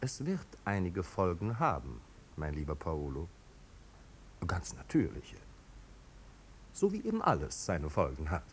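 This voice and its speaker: An actor playing a snobbish, amused aristocrat with a languid, ironic manner in a 1950s German radio drama. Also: male voice, huge pitch swings, measured pace, long pauses, heavily acted. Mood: blasé, faintly menacing. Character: snobbish, amused aristocratic marquis, blasé and mocking. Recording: old radio recording, rumbling background noise.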